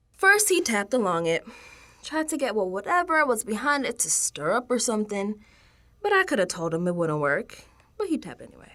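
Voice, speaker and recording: A woman playing a streetwise young New Yorker, telling her spooked audio diary in a slangy, conversational radio drama monologue. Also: sits high. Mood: wry, exasperated. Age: young adult. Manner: slangy, conversational, dropping into hushed asides.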